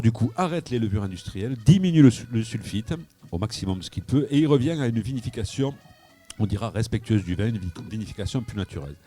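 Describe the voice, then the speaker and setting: Man, radio wine columnist, mellow voice, halting storyteller, faint music behind. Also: mid-range voice. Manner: hesitant, repeats words while telling anecdotes. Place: live broadcast from a wine shop.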